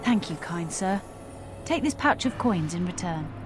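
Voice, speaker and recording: An actress playing a polite, grateful traveller in a fantasy game, speaking courteously and formally. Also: female voice, lowish voice, animated, unhurried, background noise.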